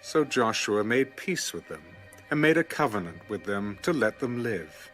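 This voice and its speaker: A man's voice: lowish voice, very animated, normal speed, fairly bright tone, soft background music. A man narrating a dramatized scripture reading as a scripted storyteller.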